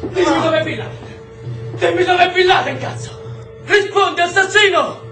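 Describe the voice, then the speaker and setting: Man acting a brutal high-pitched, sharp-voiced interrogator. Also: normal speed, soft background music, full character voice. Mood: menacing rage. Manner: barking, foul-mouthed, threatening. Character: brutal, menacing male prison interrogator hounding a detainee. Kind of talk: intense theatrical stage acting. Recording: roomy, distant stage recording, faint noise.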